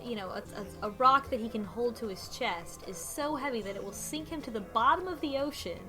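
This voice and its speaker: A woman's voice: fairly high pitch, animated, brisk. A woman talking casually in a group discussion, in an earnest, analytical way. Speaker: book club podcast panelist. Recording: music playing behind the voice.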